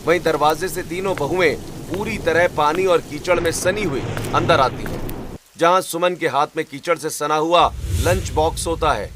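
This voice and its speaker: Man, fairly high voice, steady storybook narration, rain noise. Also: moderate pace.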